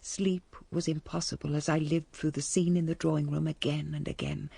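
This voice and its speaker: An actress playing a composed, genteel middle-aged English lady in a radio drama, speaking with measured grace. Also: deep voice, level tone, creaky, warm tone, breathy edge, no room sound, full character voice. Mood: grave recollection. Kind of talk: radio drama acting and first-person narration.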